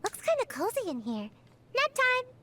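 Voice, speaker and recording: A woman's voice: extremely high pitch, wildly swooping pitch, moderate pace, bright, forceful tone, slight background noise, exaggerated voice. A woman voicing a small, lazy, sleepy young ninja girl in a video game. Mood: drowsy, curious.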